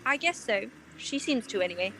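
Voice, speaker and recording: A woman's voice: fairly high pitch, animated, brisk, pushed and bright, low-quality recording. A woman playing an anxious, proper married client, hesitant and flustered, in a 1940s-style detective radio play. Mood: uneasy. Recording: faint noise, home video-call recording.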